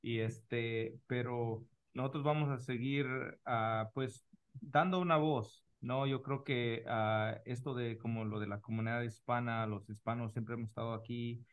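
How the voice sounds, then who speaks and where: A man's voice: mid-range voice, steady tone, a little slow. A man hosting a casual podcast conversation, talking in an informal, joking and rambling way with English words mixed in. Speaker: podcast host.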